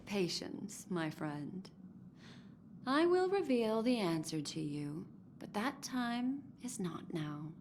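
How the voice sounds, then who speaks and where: A woman's voice: mid-range voice, very animated, slow pace, a little mellow, slight background noise. An actress voicing an enigmatic, teasing and seductive woman in a video game, poised and coy with lingering, theatrical phrasing. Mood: teasing, alluring. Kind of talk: scripted video game character dialogue. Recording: clean voice over game music.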